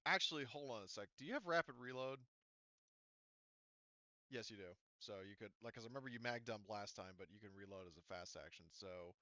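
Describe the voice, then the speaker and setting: A man's voice: fairly low pitch, brisk, pushed and bright, no echo, highs cut off. A man talking casually as game master, explaining tabletop game rules in a hesitant way, thinking aloud.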